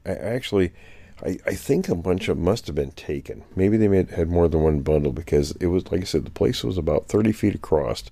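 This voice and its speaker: Very deep-voiced man recounting field finds. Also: animated, fairly quick, gravelly, mellow, no echo. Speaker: guest anthropologist. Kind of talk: casual podcast chat.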